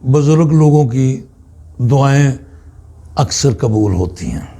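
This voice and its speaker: A man giving an informal, unscripted religious talk in a halting, deliberate way, often pausing mid-sentence. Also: medium pitch, animated, creaky, warm tone. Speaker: Islamic spiritual speaker.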